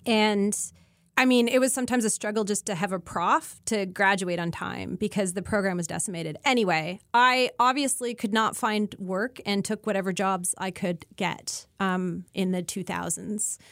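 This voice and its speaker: A woman's voice: medium pitch, normal speed, fairly bright tone, no echo. A middle-aged woman with a Canadian accent, explaining things as an interview guest in a thoughtful, informal and self-deprecating way. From Ontario, Canada.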